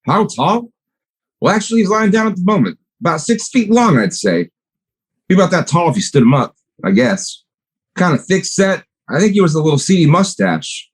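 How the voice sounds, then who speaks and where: A man's voice: sits high, big pitch swings, normal speed, slightly rough, heavily acted. A man playing a plainspoken, matter-of-fact milkman and part-time partner in a radio play. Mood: deadpan.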